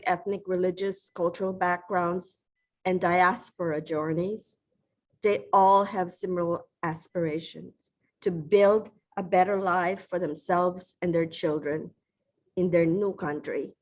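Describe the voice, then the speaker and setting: A middle-aged woman from the Philippines delivers a prepared acceptance speech. Her voice sits a little low for a woman, and she speaks in a measured, formal manner, now and then stopping to restart a phrase. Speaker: award recipient giving a speech.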